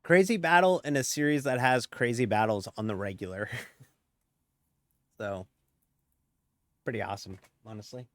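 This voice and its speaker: A man's voice: mid-range voice, normal speed, long pauses, a bit bright, no room sound, studio quality. A man streaming a sim race who talks casually and at length, rambling and chuckling at himself as he drives. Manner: chatty, rambling, chuckles often, self-mocking. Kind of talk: casual live-stream commentary while racing.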